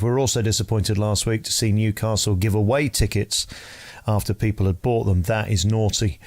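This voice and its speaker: Low-voiced Englishman narrating a scripted review. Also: darkish tone. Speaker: entertainment reviewer and host.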